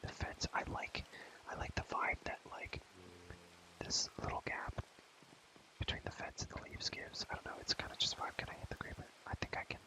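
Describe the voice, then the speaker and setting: A man's voice: normal speed, poor audio. Whispering young man, casual ASMR rambler. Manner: rambling, casual slang, repeats phrases softly. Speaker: ASMR video creator. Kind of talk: whispered ASMR gaming commentary.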